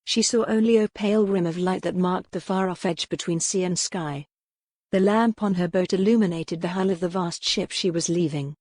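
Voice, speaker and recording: A woman with a fairly low voice narrates a historical romance audiobook. Her delivery is scripted and dramatic. The sound is very dry, with no echo. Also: steady tone, normal speed, a little mellow.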